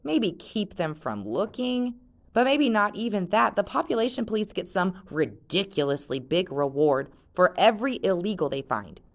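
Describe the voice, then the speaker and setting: A woman's voice: mid-range voice, very animated, moderate pace. A woman reading aloud, voicing a confident, chatty teenage girl who brushes off worries. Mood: breezy. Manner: breezy and dismissive.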